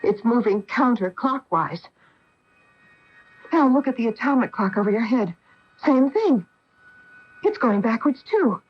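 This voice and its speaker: An actress playing a cautious, protective astronaut wife in a radio drama, with an earnest, dramatic delivery. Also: female voice, fairly high pitch, very animated, measured pace, pauses often, husky, soft background music, full character voice. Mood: astonished, urgent. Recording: noisy, muffled AM radio broadcast recording.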